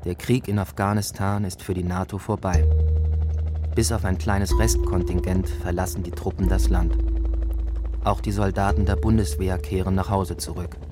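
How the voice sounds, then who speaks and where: An actor narrating a war story for a radio drama, measured, sober and factual. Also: male voice, deep voice, flat delivery, close to an everyday voice. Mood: grave. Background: loud music, gunfire effects.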